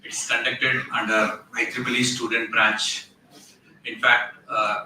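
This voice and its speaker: A man, a vice principal giving a formal welcome speech, often pausing on "uh" while thanking dignitaries. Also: medium pitch, pushed and bright. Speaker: vice principal and department head. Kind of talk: formal welcome speech at a college tech fest. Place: large hall. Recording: echoey hall from far off, faint noise.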